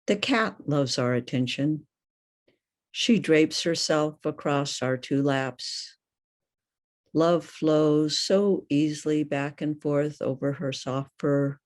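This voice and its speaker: Older lady reading a poem, takes her time, deliberate, questioning lines. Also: low voice. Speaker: poet. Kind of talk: poetry reading.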